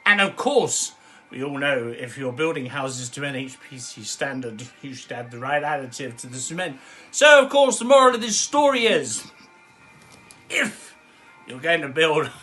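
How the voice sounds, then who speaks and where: A man's voice: on the high side, pushed and bright. A man telling a rambling comic bedtime story, chuckling and wandering into asides.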